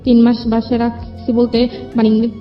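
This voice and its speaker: A young Bangladeshi woman with a fairly high voice shares a personal story as a guest. She speaks colloquially, relaying conversations as she goes. The sound is slightly dull and lo-fi, with music playing underneath. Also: monotone, normal speed. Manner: colloquial, recounts dialogue as reported exchanges. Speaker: guest telling her life story. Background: background music.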